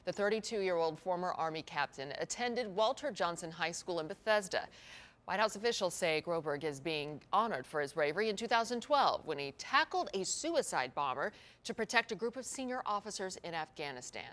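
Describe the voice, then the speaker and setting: A woman's voice: fairly low pitch, animated, brisk, fairly bright tone. An American woman anchoring a TV newscast, reading stories and chatting informally with a co-anchor. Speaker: TV news anchor.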